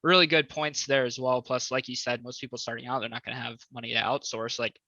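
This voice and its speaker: A man's voice: medium pitch, little pitch movement, fast talker, pushed and bright, no room sound. A man hosting an interview on a video call, laid-back and affirming, casually calling his guest "dude.". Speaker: host, interviewer. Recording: dull, dry video call audio.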